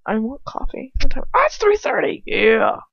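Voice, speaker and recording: Animated, sweary woman in a roomy space. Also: a little high, moderate pace, creaky, breathy edge. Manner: sweary, informal, opinionated, slangy. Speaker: amateur fan fiction reader. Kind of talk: rambling casual commentary while reading aloud. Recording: roomy, slightly dull home recording.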